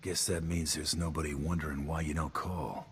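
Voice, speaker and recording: An actor voicing a gruff, laconic male gunslinger in a space video game, dry and flirtatious. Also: a bass voice, flat delivery, normal speed, fairly bright tone, slight background noise. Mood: dry, wry.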